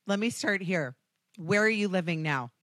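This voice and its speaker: An American woman hosting a podcast, her voice hoarse and raspy, chatting casually with self-deprecating asides and frequent ums. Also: on the low side, expressive, normal speed, fairly bright tone, no echo, clean studio sound. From Washington, D.C. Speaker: podcast host. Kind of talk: casual chat.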